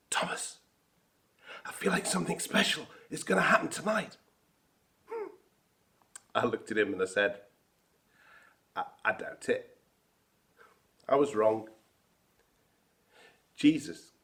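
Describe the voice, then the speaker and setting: A man playing the apostle Thomas, telling the story wryly with soft laughs. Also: mid-range voice. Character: apostle Thomas, adult man, wry and doubtful. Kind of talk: first-person dramatic monologue.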